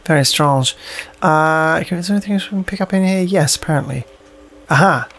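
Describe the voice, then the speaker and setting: A man's voice: fairly high pitch, brisk, fairly bright tone. A man playing a video game, commenting casually and reading notes aloud, often hesitating and repeating himself. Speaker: let's play host and player.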